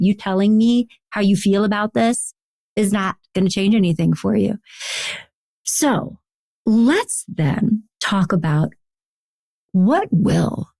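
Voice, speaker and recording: Low-voiced woman hosting, close dry sound. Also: a little mellow, no room sound. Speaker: podcast host, therapist. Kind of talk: conversational podcast interviewing.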